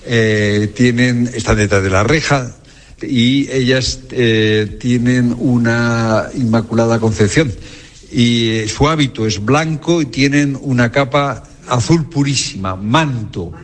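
A man conducting an on-location interview, halting as he searches for words. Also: medium pitch. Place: small convent parlour, indoors.

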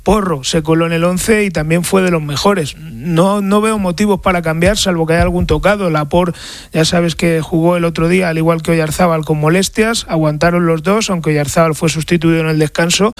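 A male football reporter on radio with a slightly high voice, setting out his reasoning step by step. The sound is dry and close. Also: steady tone, fairly quick, no room sound. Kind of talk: radio sports analysis.

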